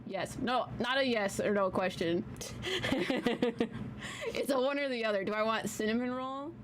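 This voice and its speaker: A woman chats casually with her live-stream viewers, slangy and loose, in a small, soft voice. She records from a home basement. The audio carries a steady background noise. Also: a little high, unhurried. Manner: chatty, slangy, casual swearing. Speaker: tarot reader hosting a live stream.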